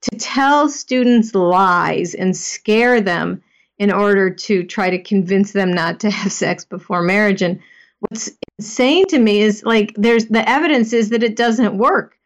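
A woman's voice: medium pitch, measured pace. A woman speaking earnestly and emphatically in a conversational chat, often saying "you know".

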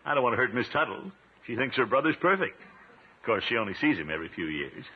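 A man's voice: medium pitch, sing-song, fast, slightly rough. An actor playing a pompous, flustered, easily exasperated middle-aged man with blustery exclamations in a 1950s radio comedy. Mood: indignant. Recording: tinny, noisy old radio recording.

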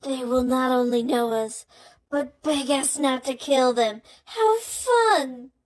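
Female monster villain, performed by a man: sadistic, gleeful, very high voice, lingering gloating delivery for audio drama. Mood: cruel delight. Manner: gloating, drawn-out.

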